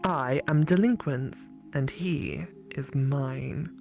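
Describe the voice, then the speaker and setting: Woman acting a mysterious female spirit, visual novel character, possessive, measured and deliberate, faint music. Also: very deep voice, creaky, darkish tone, exaggerated voice. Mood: coolly possessive. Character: possessive female phone-dwelling spirit girlfriend, slow and mysterious.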